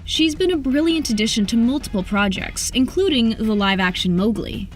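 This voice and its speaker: A woman's voice: on the high side, lively intonation, normal speed. A woman narrating an entertainment video from a script. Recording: music under the voice. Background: background music.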